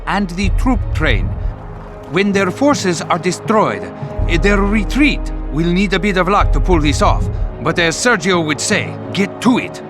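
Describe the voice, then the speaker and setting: An actor playing a pious, wry Italian officer in a video game cutscene, with an Italian accent and theatrical, expressive phrasing. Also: male voice, high-pitched, unhurried. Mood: wry, businesslike. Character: pious, wry Italian tank officer, anxious about his brother. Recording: loud music under the voice.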